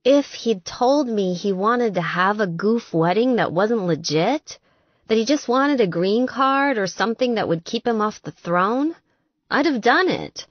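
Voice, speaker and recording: A tipsy young woman nursing heartbreak, acted by a female narrator. She is plaintive and sighing, pitched up and swooping with self-pity. The recording is dry and a little dull, in audiobook style. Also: no room sound. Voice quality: drunken, hiccupy delivery. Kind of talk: audiobook character dialogue.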